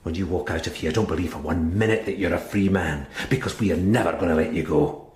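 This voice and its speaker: An actor playing a hard, contemptuous British police sergeant in a radio crime drama, blunt, goading and threatening. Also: male voice, deep voice, fast talker, a little mellow, close to an everyday voice. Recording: roomy, echoing interior.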